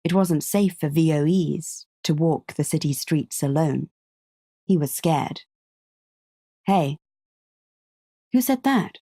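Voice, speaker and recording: Low, mellow, unhurried woman narrator. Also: slightly rough, dry recording, studio quality. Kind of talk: scripted story narration.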